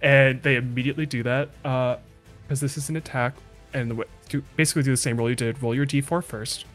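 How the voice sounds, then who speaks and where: Man, game master, chatty, enthusiastic, mid pitch, faint background music. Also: steady tone, quick pace, a bit bright. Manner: chatty, enthusiastic, laughs often. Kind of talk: running a tabletop game over a livestream.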